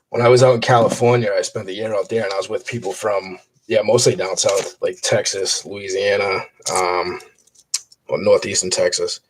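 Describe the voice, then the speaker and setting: A man's voice: on the low side, slight background noise, low-quality recording. A man chatting casually in a live stream while sorting coins, rambling with many fillers and restarts. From Boston area. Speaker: coin roll hunter streaming.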